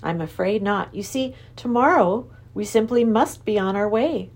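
A woman voicing a kindly, calm professor who talks in a gentle, measured, earnest way. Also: medium pitch, natural voice. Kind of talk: storybook reading.

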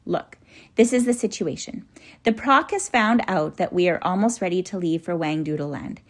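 Storybook role: kindly professor, grave and earnest, measured guide to children played by a female reader. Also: fairly low pitch, close to an everyday voice. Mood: grave, resolute.

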